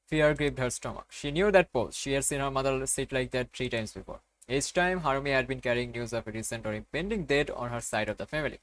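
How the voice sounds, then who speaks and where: A man's voice: mid-range voice, a bit bright. A man hosting a game playthrough, reading a visual novel aloud with casual commentary.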